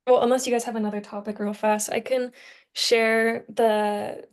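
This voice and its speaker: A woman's voice: fairly high pitch, level tone, moderate pace. A young woman in a casual group discussion, speaking informally and with enthusiasm as a student on a podcast. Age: young adult.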